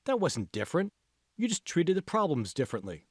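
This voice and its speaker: A man plays a confident young male college buddy in an audiobook. His pitch climbs and swoops as he coaches, emphatic and insistent. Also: big pitch swings, fairly quick, no room sound, clean studio sound. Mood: insistent encouragement. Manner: emphatic, prodding, persuasive. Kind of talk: audiobook character dialogue.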